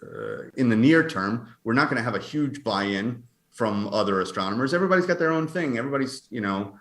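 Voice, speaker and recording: A man answering interview questions conversationally as an astronomer, in a hesitant, halting, hedging manner. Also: mid-range voice, lively intonation, a bit bright. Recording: roomy, echoing sound.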